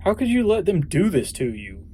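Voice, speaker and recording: An actor in an audio drama playing a defiant hero with a sarcastic, incredulous manner. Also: male voice, high-pitched, wildly swooping pitch, normal speed, dark tone, husky, heavily acted. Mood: reproachful.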